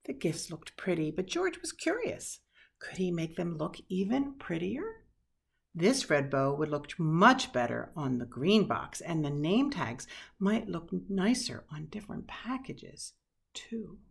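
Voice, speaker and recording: A woman reading a children's picture book aloud expressively, with dramatic pauses and hushed asides. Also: lowish voice, big pitch swings, creaky, slightly rough. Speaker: storybook reader.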